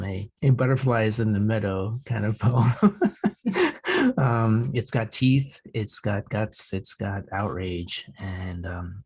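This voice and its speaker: A man hosting a poetry interview, talking in an effusive, halting way. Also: on the low side, darkish tone. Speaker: poetry show host.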